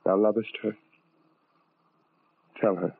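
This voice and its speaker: An actor playing a tormented, self-loathing man in love, with halting, melodramatic delivery in an old-time radio drama. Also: on the low side, little pitch movement, vocal fry, slightly rough, no echo, full character voice. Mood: wistful. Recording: muffled band-limited old radio recording, music behind.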